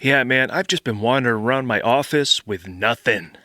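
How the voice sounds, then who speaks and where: An actor playing a frazzled screenwriter, stammering and agitated, in a comedy sketch. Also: male voice, mid-range voice, normal speed, pushed and bright, no room sound, studio quality, close to an everyday voice. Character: frazzled, blocked male screenwriter calling for help.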